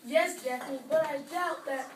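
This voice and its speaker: A discouraged, slightly vain boy puppet performed by a woman in a children's show. The voice is high and plaintive. It carries from a distance through an echoey room with faint noise. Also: slow. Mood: doubtful, plaintive. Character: doubtful, discouraged boy puppet named Carl, a little vain.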